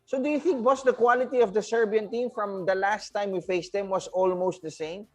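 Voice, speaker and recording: A man's voice: high-pitched, steady tone, brisk, soft background music. A man hosting a sports talk show, asking long, rambling questions to a coach. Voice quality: chatty, rambling questions with "if I'm not mistaken". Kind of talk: casual interview.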